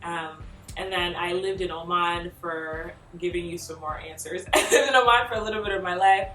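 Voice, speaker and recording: A woman's voice: fairly low pitch, moderate pace. Chatty woman in a roomy space. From Nigeria. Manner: chatty and animated, laughs often, lots of "like" fillers. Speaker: vlogger. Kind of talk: casual chat answering tag questions. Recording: roomy echo, mic a bit far off, faint music behind.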